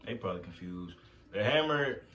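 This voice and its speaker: Deep-voiced, laid-back young man. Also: expressive, normal speed, a bit bright. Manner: laid-back, profane, matter-of-fact. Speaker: vlog co-host, army veteran. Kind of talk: casual conversational chat.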